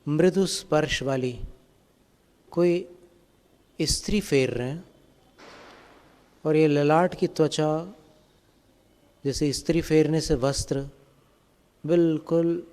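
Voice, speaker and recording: A man guiding a meditation as a spiritual teacher, giving calm, gentle, step-by-step instructions. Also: fairly high pitch. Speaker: Jain monk and meditation teacher.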